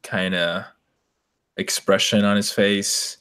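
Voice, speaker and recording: A man's voice: on the low side, level tone, takes it slowly, sharp tone, clear, resonant tone. Tentative man hosting a casual chat. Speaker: podcast host steering discussion.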